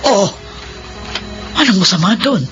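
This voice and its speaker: A woman's voice: mid-range voice, expressive, a little slow, muffled recording, over music, close to an everyday voice. An actress playing a domineering, easily offended older mother-in-law in a Filipino radio drama, sarcastic and scolding. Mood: cool, guarded.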